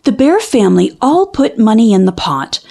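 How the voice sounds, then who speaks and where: A woman reading a children's storybook aloud as an expressive narrator. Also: on the high side, normal speed, vocal fry.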